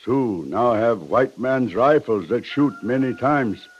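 Slow, solemn chief, old radio. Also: male voice, mid-range voice, expressive, husky. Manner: slow, solemn, terse broken phrasing. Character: solemn, proud Sioux chief. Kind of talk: 1950s radio western acting. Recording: dull, band-limited old radio recording.

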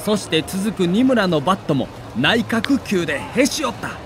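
A man's voice: high-pitched, very animated, normal speed, music in the background. A man delivering dramatic scripted narration of a sports documentary, with theatrical, emotive storytelling in the role of a reporter.

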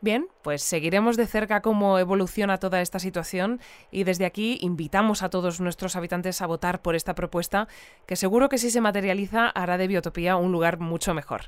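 A woman's voice: on the low side, a little fast, smooth, no echo, natural voice. An actress playing a polite, composed female host of a community news bulletin in an audio drama. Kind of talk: scripted radio interview acting.

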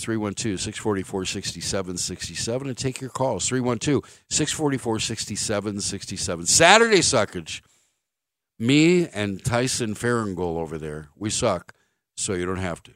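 A man's voice: lowish voice, fairly bright tone, no room sound. A man hosting sports talk radio, giving an opinionated monologue in a blunt, emphatic way, repeating phrases for stress. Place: dry radio studio.

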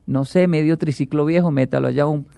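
A man with a gravelly, creaky voice, chatting as a guest on a talk show. He tells his stories with energy and acts out what others said. His voice sits fairly high for a man, with a dark, mellow tone. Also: brisk, no room sound. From Colombia. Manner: animated storyteller, acts out quotes. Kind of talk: casual chat.

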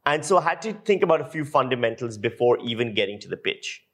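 A man's voice: mid-range voice, very animated, quick pace. A man from Pakistan giving an informal instructional talk as a journalism lecturer, explaining emphatically and posing rhetorical questions.

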